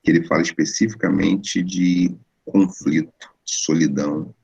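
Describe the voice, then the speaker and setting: Deep husky Brazilian man lecturing. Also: steady tone, unhurried, a little mellow, muffled recording. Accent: Brazilian Portuguese. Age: 40s. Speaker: guest philosopher. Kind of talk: online lecture. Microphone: video call.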